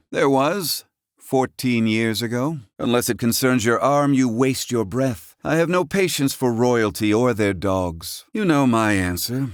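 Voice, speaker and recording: An actor voicing a gruff, proud, curmudgeonly older man, a learned doctor in a fantasy video game, with haughty, archaic, curt phrasing. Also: lowish voice, dry recording, studio quality. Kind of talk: theatrical video game voice acting.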